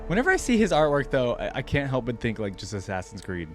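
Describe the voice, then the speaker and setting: A man's voice: medium pitch, wildly swooping pitch, quick pace. A man hosting a reaction video, giving casual, conversational commentary as he thinks aloud. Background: background music.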